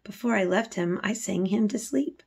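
A woman's voice: medium pitch, normal speed, a little mellow, breathy edge. A woman voicing a curious, matter-of-fact young girl in a storybook, plain-spoken and insistent.

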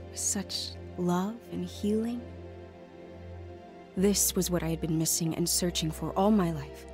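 A woman's voice: lowish voice, lightly acted. An actress playing a lonely, hesitant woman who has found faith and tells her story earnestly in an audio drama. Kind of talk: audio drama dialogue and first-person narration.

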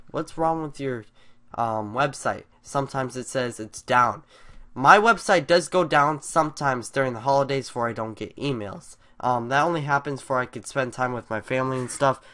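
A man's voice: medium pitch, fairly bright tone. A young man with a stuffy, congested voice, rambling eagerly to his viewers in a casual vlog at his computer. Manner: rambling, filler-heavy, eager. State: congested from a cold. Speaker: YouTuber. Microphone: desktop USB mic over screen recording.

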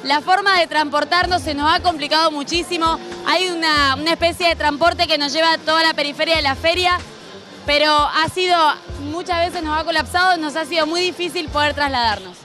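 A woman's voice: high-pitched, steady tone, a little fast, music in the background. Enthusiastic woman TV reporter. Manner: enthusiastic, presenter-like. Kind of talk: TV reporting and interviewing.

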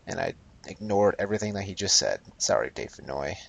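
A young man playing a video game and chatting over it, sarcastic and rambling, with a raspy voice he calls high. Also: lively intonation, fairly quick, fairly bright tone. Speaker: gameplay commentator. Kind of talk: casual game commentary.

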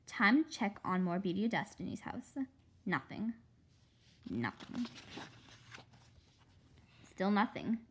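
A woman with a slightly husky voice reading a story aloud expressively, acting out the dialogue and sound effects. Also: mid-range voice, big pitch swings, slow, pauses often, a little hiss or hum. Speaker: read-aloud host.

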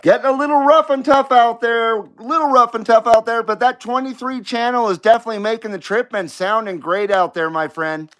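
Cb radio host guy, pretty high voice, hearty showy patter, repeats his catchphrases. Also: steady tone, fairly quick, hardly any pauses, fairly bright tone. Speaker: CB radio operator and live stream host.

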